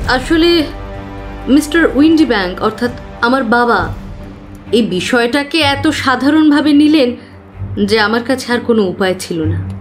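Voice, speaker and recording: An anxious, slow-speaking female client. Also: a little high. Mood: surprised, agitated. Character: anxious, earnest female client of modest means. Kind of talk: Bengali audio drama acting.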